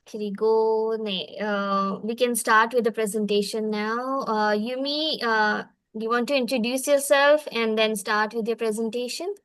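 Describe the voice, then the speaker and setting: A woman's voice: on the high side, steady tone, moderate pace, few pauses, fairly bright tone, clean tone, dry recording. A woman hosting an online cultural exchange on a video call, moderating informally.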